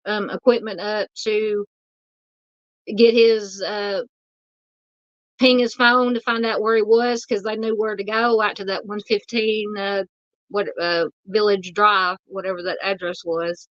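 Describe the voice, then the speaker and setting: A woman with a Southern US accent hosting a true crime livestream, reading out viewer comments in a halting, filler-filled way, polite and a little hoarse from losing her voice. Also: medium pitch, steady tone, a bit bright, no room sound, muffled recording.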